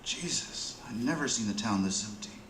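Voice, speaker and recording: An actor playing a jittery, down-and-out addict and petty thief who grumbles and mutters to himself in street slang, in a radio drama. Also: male voice, medium pitch, animated, normal speed, close to an everyday voice. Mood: furtive, hopeful. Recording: roomy, with faint background noise.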